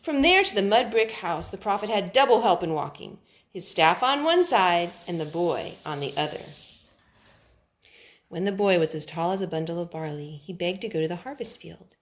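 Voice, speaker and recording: A woman reading a Bible story aloud to children, with expressive, deliberate phrasing and drawn-out words. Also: on the low side.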